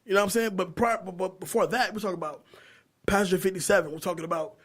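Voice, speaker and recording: A man co-hosting a podcast, chatting casually in slangy, animated talk and repeating phrases for emphasis. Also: high-pitched, racing. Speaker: podcast co-host. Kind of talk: casual pop-culture chat.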